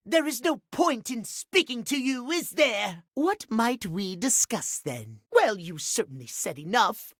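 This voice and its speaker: A man's voice: very high voice, fairly bright tone, dry recording, clean studio sound, full character voice. A man voicing a prim, haughty, easily offended timekeeper in a video game, with pompous, theatrical formal phrasing. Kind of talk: video game character voice acting.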